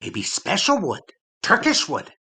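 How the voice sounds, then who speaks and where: A man playing a gullible wooden ventriloquist dummy sidekick in a comic audio play, hesitant, agreeable and easily amazed, with a husky voice. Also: high-pitched, huge pitch swings, unhurried, bright, forceful tone, heavily acted. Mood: agreeable, puzzled.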